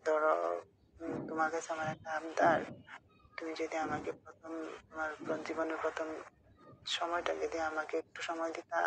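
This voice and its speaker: A gravelly, fry-edged woman with a Bangladeshi accent confides in a friend in a casual phone chat. Her delivery is flat and rambling, with frequent little checks that the listener is keeping up. The call recording has faint noise behind it. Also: deep voice, normal speed, long pauses. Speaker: caller telling a friend a personal story.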